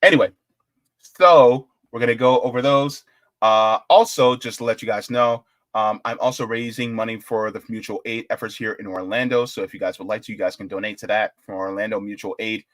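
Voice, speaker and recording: A young man hosting a live political show, chatting casually and rambling, with excitable bursts. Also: on the low side, brisk, no echo. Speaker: political commentary host. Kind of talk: casual live-stream sign-off chat.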